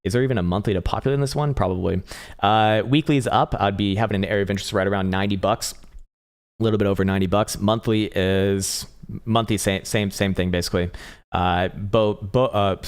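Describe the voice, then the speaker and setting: Guy doing a crypto livestream, lowish voice going a bit hoarse, cussing and restarting sentences as he reads chat. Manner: loose and profane, reads viewer chat aloud; halting, with frequent restarts. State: voice getting strained and hoarse. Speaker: crypto trading livestream host. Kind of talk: casual live-stream chart commentary.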